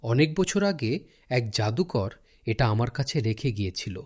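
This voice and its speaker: A man playing a cautioning village shopkeeper in an animated story, speaking in a measured way. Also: medium pitch.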